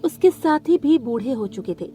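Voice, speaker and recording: A woman reading scripted narration for a children's animated story, as the narrator. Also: fairly high pitch, normal speed. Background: background music.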